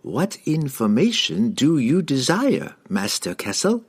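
A man voicing a sly halfling in a fantasy audiobook, feigning meek obedience in measured, persuasive speech. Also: mid-range voice, huge pitch swings, slightly rough, pitched above the performer's own voice, full character voice. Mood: feigned submission.

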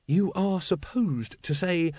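A man's voice: high voice, darkish tone, no echo, clean studio sound, pitched above the performer's own voice. Man playing a pompous secret-order grand master. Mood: peevish. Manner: slow, ceremonial, peevish.

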